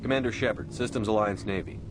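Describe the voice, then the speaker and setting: Actor playing a curt, low-voiced military commander. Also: male voice, fast, noisy background, lightly acted. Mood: curt, defiant. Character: stern, blunt human military commander, a space agent. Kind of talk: video game cutscene acting.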